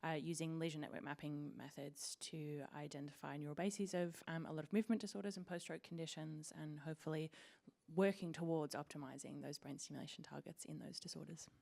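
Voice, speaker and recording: Quick, low, gravelly-voiced woman. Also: fairly even intonation, a little mellow. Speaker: postdoctoral researcher. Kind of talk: conference self-introduction.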